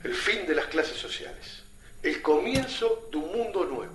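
Historiador idealista, voz con eco. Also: male voice, fairly high pitch, big pitch swings, measured pace, fairly bright tone. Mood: thoughtful, idealistic. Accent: Argentine. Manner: articulate, thoughtful. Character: idealistic male historian and writer among fighters. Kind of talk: radio drama. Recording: echoey, distant mic, noisy background.

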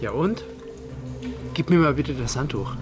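Actor as a curt, guarded husband, mid-pitched. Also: male voice, expressive, measured pace, music in the background, natural voice. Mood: evasive, curt. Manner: curt, dry, evasive. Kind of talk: German radio drama acting.

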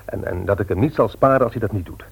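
Husky young husband in radio drama. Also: male voice, fairly low pitch, fast talker, full character voice. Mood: earnest. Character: young husband and bookseller, good-humoured, deferential to uncle. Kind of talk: Dutch radio play acting.

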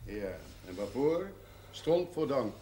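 Casting: moralising middle-aged village businessman, medium pitch, very animated delivery, TV period drama. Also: slow. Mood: gruff. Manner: forceful, admonishing. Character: stern, moralising small-town businessman. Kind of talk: television period drama acting. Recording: faint noise, old television soundtrack.

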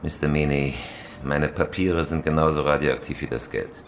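A man's voice: extremely low pitch, steady tone, normal speed, a little hiss or hum. A man playing a cool, hard-nosed professional robber in a German radio crime drama, terse and businesslike. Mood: calm, sly. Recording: loud music bed.

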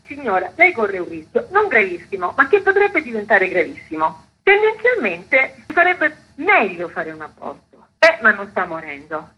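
A woman gives a radio interview as an advocate. Her voice is very husky and rough, moving animatedly in pitch. The recording is dull and lo-fi. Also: pushed and bright. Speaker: theatre author-performer and women's health activist.

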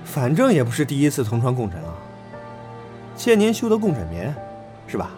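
A man's voice: mid-range voice, wildly swooping pitch, fairly quick, music in the background. A man playing a teasing, flippant young idol in a Chinese audio drama, talking in playful banter. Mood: playful, cheeky.

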